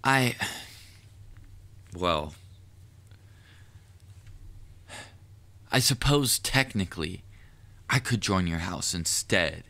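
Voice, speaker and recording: A man playing a proud, cunning, lovestruck assassin in an audio roleplay, speaking formally and deliberately to the listener with quiet menace. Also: sits low, animated, vocal fry, heavily acted. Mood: hesitant, defensive. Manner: formal wording, deliberate, measured. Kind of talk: scripted audio roleplay monologue addressed to the listener.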